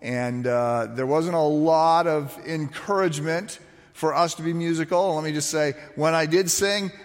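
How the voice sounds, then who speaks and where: A man preaching a sermon, telling stories lively with fillers and restarts; his voice turns raspy when he speaks quietly. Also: on the high side, moderate pace, big-room sound. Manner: animated storytelling with frequent restarts and fillers.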